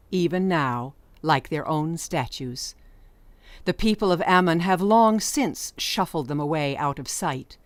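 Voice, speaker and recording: A woman's voice: low-pitched, no echo. A woman narrating an audiobook story in a scripted, dramatic storytelling style.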